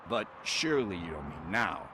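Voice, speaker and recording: An actor playing a laid-back, cheeky petty thief in a video game, talking in a slangy, wheedling way. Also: male voice, deep voice, very animated, normal speed. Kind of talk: video game cutscene acting. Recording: loud street noise behind.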